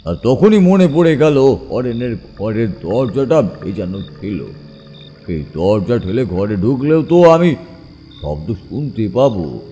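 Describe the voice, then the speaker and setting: An actor playing a haunted man retelling a terrifying ghost memory in a horror audio drama, halting and full of dread, with dramatic pauses. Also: mid-range voice, dark tone, in a large hall, slight background noise.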